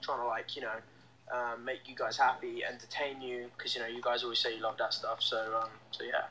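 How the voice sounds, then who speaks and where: A man's voice: medium pitch, flat delivery, a little fast, sharp tone, slight background noise, poor audio. Upbeat young man on a phone livestream. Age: young adult. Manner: upbeat, chatty, reads out fan comments. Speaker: band member hosting a livestream. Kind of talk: casual livestream chat reading comments. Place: indoors, small room. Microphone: phone held close to face.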